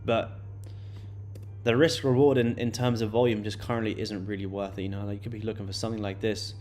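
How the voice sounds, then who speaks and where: Late-20s male streamer, low-pitched, relaxed and chatty, repeats himself and fills pauses. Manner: loose and chatty, many fillers and repeated words. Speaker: day-trading livestream host. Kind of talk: casual live-stream chat answering viewers.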